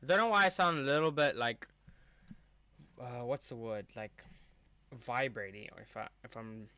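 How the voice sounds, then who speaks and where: A man's voice: medium pitch, normal speed, bright, forceful tone, clear, resonant tone, dry recording. A young man hosting a live match watchalong, chatting casually and calling the play as it happens into a streaming mic. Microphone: streaming mic with a cover on top.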